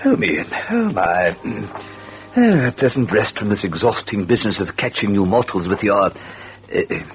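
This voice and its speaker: An actor playing the Devil as a genial, sardonic storyteller, chatting wryly to listeners in hesitant asides on an old radio horror drama. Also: male voice, fairly low pitch, sing-song, moderate pace. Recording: muffled old radio recording, music behind.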